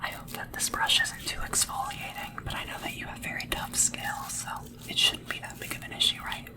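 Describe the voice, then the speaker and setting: A woman speaking in a soft, breathy whisper, gently attentive and deferential, as in an ASMR roleplay of a personal sleep attendant. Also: fairly quick, distant mic. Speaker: ASMR roleplay creator playing a sleep assistant.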